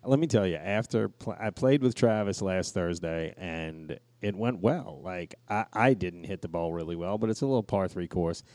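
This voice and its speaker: A man's voice: low-pitched, moderate pace, few pauses, darkish tone, no room sound. A man chatting casually as a podcast co-host in a crude, profane, joking way. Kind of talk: casual chat.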